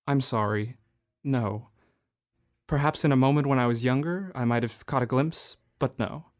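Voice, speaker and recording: A man's voice: mid-range voice, normal speed, close to an everyday voice. A man playing an old miser in a student radio drama, speaking in an earnest, pleading way. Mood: humbled.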